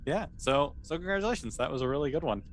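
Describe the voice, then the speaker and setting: A man's voice: a little high, expressive, fast. Man running a tabletop game, noisy recording. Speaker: game master. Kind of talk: casual tabletop game narration and chat.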